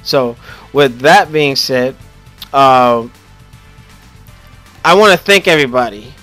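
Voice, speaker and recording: A man's voice: mid-range voice, animated, slow, pushed and bright, faint music behind. A young man with a Haitian accent hosting a casual gaming podcast, joking and rambling with lots of restarts. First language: Haitian Creole or French. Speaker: podcast host.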